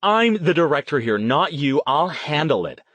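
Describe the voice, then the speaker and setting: A man voicing a fed-up, defiant female TV director in an audiobook, with sharp, forceful retorts. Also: fairly high pitch, sing-song, normal speed, pitched above the performer's own voice. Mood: angry.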